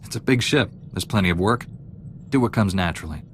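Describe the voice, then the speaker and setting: A man playing a calm, self-assured Jedi knight hero in a video game. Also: low-pitched, fairly quick, slight background noise. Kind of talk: video game character acting. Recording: music behind the voice.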